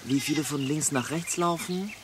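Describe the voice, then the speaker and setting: A man's voice: on the high side, moderate pace, noisy background, close to an everyday voice. An actor playing a curious, content young man in a radio drama, eagerly explaining. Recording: outdoor birdsong ambience.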